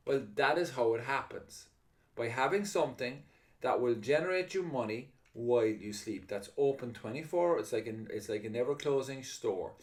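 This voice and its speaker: A middle-aged Irish man delivers a live online sales masterclass, speaking fast and with eager, upbeat energy. His voice sits at an ordinary middle pitch, and his talk comes through a computer mic on a video call. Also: darkish tone. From Ireland. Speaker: webinar presenter.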